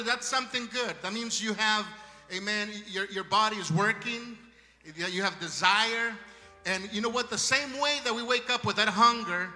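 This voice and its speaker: A man's voice: high-pitched, long reverb tail. A man with a strong Spanish accent preaching a sermon to a congregation, calling out praises and exhortations with fervour. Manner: fervent, shouting praises to the congregation. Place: church hall.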